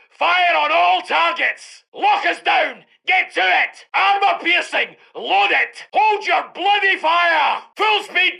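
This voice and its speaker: Brit tank commander for a war game, really high strained pitch, yelling gruff orders. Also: male voice, full character voice. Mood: urgent, fierce. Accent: British. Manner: barking short orders forcefully. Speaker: game voice actor. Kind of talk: shouted military game barks.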